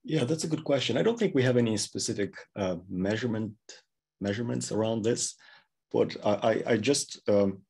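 Male, thirties, very low husky voice, hesitant conversational explainer. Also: lively intonation, a little fast, darkish tone. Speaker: product engineer, interview guest. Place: indoor room, plain backdrop, video call.

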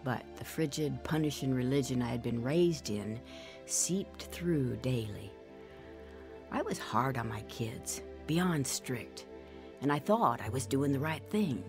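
A woman's voice: a bass voice, expressive, unhurried, over music, heavily acted. An actress playing a remorseful woman burdened by shame, earnestly telling her life story in a radio drama. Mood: regretful narration. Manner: earnest, regretful. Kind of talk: dramatized first-person testimony.

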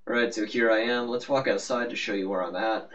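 A man's voice: lowish voice, steady tone, fairly quick, fairly bright tone. A man giving a casual, rambling, offhand game walkthrough as the host of a gaming video. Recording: muffled, noisy, distant-sounding microphone.

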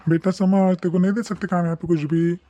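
Cartoon snack-shop boss, acted by a man: avuncular, exasperated, repetitive, high-pitched and quick. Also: flat delivery, mellow, lo-fi sound, lightly acted. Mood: exasperated, generous. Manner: repetitive, avuncular, mixing Hindi and Marathi. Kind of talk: animated moral story dialogue.

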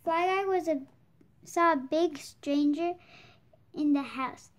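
Slow, very high-pitched young storybook reader. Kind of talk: slow read-aloud of a children's picture book.

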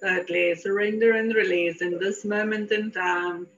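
A woman leading a guided meditation in a soothing, deliberate manner with repeated phrases. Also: mid-range voice, fairly even intonation, slight background noise. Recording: dull lo-fi audio.

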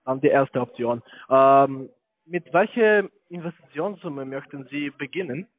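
Halting foreign-accented man on tinny phone. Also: mid-range voice, lively intonation. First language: not German. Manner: halting, searching for words. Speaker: call-centre investment salesman. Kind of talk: phone sales pitch. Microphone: telephone. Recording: tinny narrow-band phone line.

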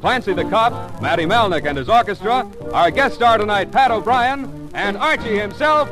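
A man's voice: sits high, expressive, moderate pace, over music, poor audio. A man announcing the cast of an old-time radio show in a 1940s broadcast style.

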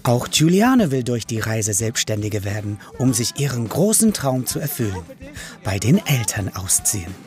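A man's voice: mid-range voice, very animated, moderate pace, a little mellow. A man giving friendly, scripted documentary narration. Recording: voice-over with music bed.

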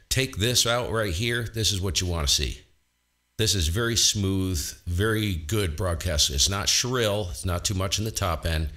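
Boomy baritone man explaining radio gear. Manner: relaxed, explanatory, thinking aloud. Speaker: ham radio operator and host. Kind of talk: casual technical walkthrough. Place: home radio shack.

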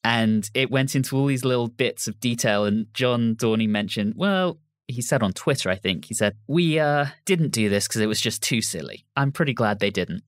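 A man's voice: medium pitch, expressive, normal speed, few pauses, dry recording, clean studio sound. A man hosting a podcast, discussing an audio drama. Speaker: podcast host.

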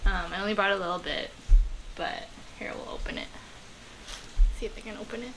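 A woman's voice: medium pitch, brisk. A woman of about thirty chatting casually to camera in a small home kitchen, rambling with many ums and likes. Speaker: art vlogger. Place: small home kitchen-studio indoors, rain outside. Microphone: camera close on the table. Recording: home video with clear rain noise behind. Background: rain noise.

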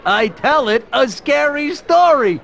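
A man voicing a bug bartender in a cartoon game, with drawn-out deadpan replies. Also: very high-pitched, vocal fry, exaggerated voice. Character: unhurried male bug bartender. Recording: bar crowd behind voice.

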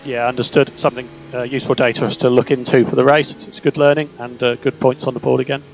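Calm male race engineer on noisy radio. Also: mid-range voice, vocal fry. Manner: calm, measured, terse. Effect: team radio. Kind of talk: clipped team radio instructions. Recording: noisy radio link with car hum. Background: car engine hum.

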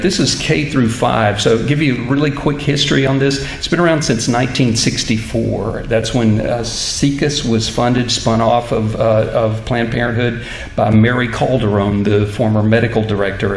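A middle-aged man, a minister, giving an earnest, halting public talk at a church lectern into a stand microphone. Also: medium pitch, steady tone. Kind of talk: public lecture in church, reading from notes. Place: church stage at a lectern, indoors. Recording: roomy hall echo, clear background noise.

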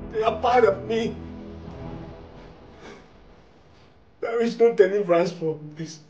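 A young man acting a desperate, demon-possessed man in an anguished, halting dramatic monologue. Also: very high-pitched. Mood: despairing. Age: young adult. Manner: anguished, halting, swinging into outbursts. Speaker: actor. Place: tiled living room, indoors. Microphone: phone a few steps away.

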